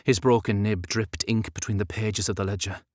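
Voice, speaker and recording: Low-voiced man narrating a novel, dry sound. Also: fairly even intonation, normal speed, dry recording. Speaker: audiobook narrator. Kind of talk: scripted literary narration.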